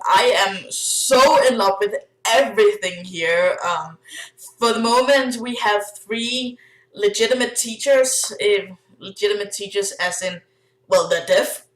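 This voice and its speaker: A young woman with a Danish accent talks casually to the camera, full of ums and little laughs. Her voice sits at an ordinary pitch for a woman. The room around her is noticeably echoey. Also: a bit bright. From Denmark. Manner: chatty, giggly, many fillers. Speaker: vlogger. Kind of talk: casual vlog chat.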